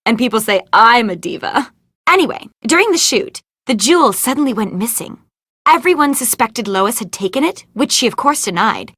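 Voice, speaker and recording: Vain, high-voiced, dramatic ditzy starlet. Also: female voice, expressive, normal speed, fairly bright tone, dry recording. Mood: gossipy. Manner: gushing, theatrical, gossipy. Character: vain, ditzy, dramatic young movie actress, scheming for fame. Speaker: video game voice actor. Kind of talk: animated adventure game dialogue.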